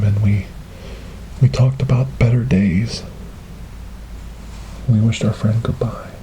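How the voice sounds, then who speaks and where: A man performing an ASMR monologue as a gentle, grieving storyteller in a witch-trial roleplay, speaking softly and haltingly in a breathy, often whispered voice close to the microphone. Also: medium pitch, slight background noise, close to an everyday voice, poor audio. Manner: slow, halting, earnest, soft-spoken. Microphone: close binaural microphone.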